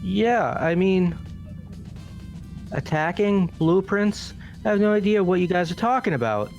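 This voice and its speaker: A man's voice: high voice, lively intonation, a little slow, darkish tone, muffled recording. A man voicing a goofy, clueless young guy who protests in a whiny, slangy way, in a fan-dubbed visual novel. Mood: defensive. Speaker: voice actor in fan game playthrough. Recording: music under the voice.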